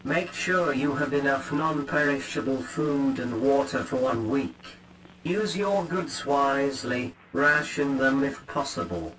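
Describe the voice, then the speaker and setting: A man with a mid-range voice reads an emergency weather alert. His delivery is calm, clipped and official. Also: medium pitch, measured pace, a little hiss or hum, rough recording. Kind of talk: formal emergency alert reading.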